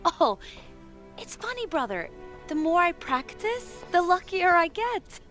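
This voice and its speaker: Brief: young Viking shieldmaiden for a game, high voice, slow taunting delivery, music behind. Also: female voice, big pitch swings, a bit bright, exaggerated voice. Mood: cocky teasing. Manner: taunting, playful. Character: young Norse warrior sister, cocky, teasing, fearless. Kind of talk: video game cutscene acting.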